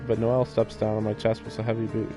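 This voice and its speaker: A man's voice: lowish voice, completely flat delivery, fast talker. A man streaming a visual novel, reading the text aloud and adding casual, questioning commentary. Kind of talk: live game commentary and read-aloud of on-screen text. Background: game music.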